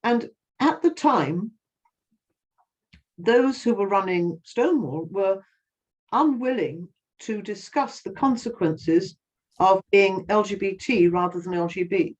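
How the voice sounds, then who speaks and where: Older woman, interviewee, impassioned, stumbles and restarts, mid pitch, muffled lo-fi audio. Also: slow pace. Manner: impassioned, many restarts and repeated words. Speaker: interviewee, activist. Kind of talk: earnest interview answers. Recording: slightly dull, lo-fi sound.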